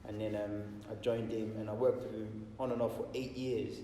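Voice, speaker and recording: Low, flat-voiced man heard from afar. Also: moderate pace. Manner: reflective, with fillers. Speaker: choreographer. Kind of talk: casual interview. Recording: distant echoey hall, faint noise.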